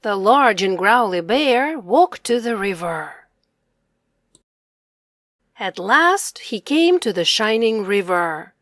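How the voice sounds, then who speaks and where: A woman reading a children's story aloud in a slow, deliberate, lively voice. Her pitch sits slightly high and swings widely. The recording is close and dry. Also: no room sound.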